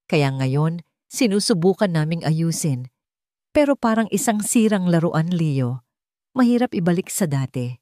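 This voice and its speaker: A woman voicing a remorseful husband and gentle father in an audio drama, speaking earnestly and pleadingly, choosing words with care. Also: medium pitch, no room sound, clean studio sound, close to an everyday voice.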